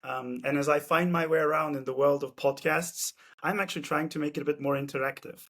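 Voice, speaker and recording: Brief: male podcast host, friendly interviewer, slightly higher voice. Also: steady tone, fairly quick, fairly bright tone. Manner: friendly and conversational, asks easy questions.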